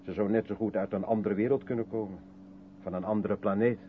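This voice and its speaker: A man's voice: fairly low pitch, fairly even intonation, a little fast, lightly acted. An actor playing a guarded, self-doubting intellectual man in a radio play, brooding and hesitant as he questions himself. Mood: guarded, reflective. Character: withdrawn, self-doubting mixed-race South African man, guarded intellectual. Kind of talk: 1970s Dutch radio play acting. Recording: tinny band-limited old radio recording, faint hiss.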